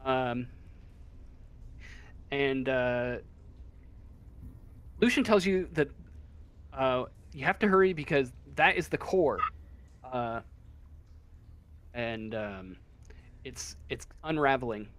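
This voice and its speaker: Guy game mastering a tabletop rpg, talks slow and halting, casual, medium pitch, making it up as he goes. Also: lively intonation, a bit bright. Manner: halting, searching for words, casual. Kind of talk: improvised narration of a tabletop game.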